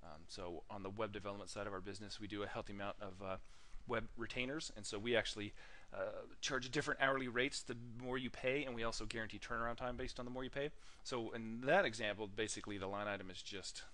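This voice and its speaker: Male software demonstrator, lowish voice, informal tutorial delivery, halting with frequent filler sounds. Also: fairly even intonation. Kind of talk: casual software tutorial narration.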